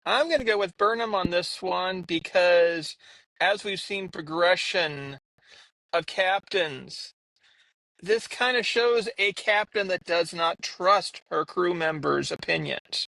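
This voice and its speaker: A man's voice: high voice, takes it slowly, gravelly, bright, forceful tone, dry recording, poor audio. A man on a podcast panel stating his pick decisively in casual chat. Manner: decisive, states opinion firmly.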